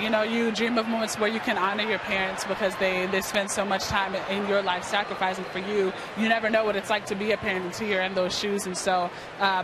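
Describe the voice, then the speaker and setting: An American woman in her early twenties from New York, speaking earnestly and conversationally as an athlete being interviewed. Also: mid-range voice. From New York, United States. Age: early 20s. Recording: clear outdoor stadium noise behind. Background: outdoor ballpark ambience.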